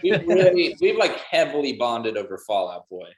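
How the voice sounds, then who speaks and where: A man's voice: mid-range voice, big pitch swings, moderate pace. A man with an American accent chatting casually as a band member in an interview, recorded with a roomy echo. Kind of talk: casual chat. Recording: echoey, roomy sound.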